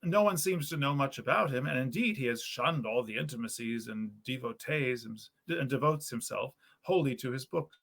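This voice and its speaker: A man voicing an earnest, good-natured young male student from a storybook, with animated, eloquent old-fashioned speech. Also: mid-range voice, normal speed, creaky, few pauses. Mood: thoughtful, warm. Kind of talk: storybook character reading.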